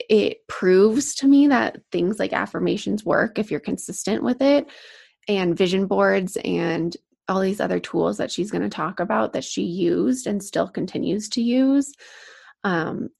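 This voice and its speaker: A woman hosting a podcast, introducing her guest earnestly and softly, with gravelly, husky tones. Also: medium pitch. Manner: earnest, soft, with fillers. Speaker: podcast host.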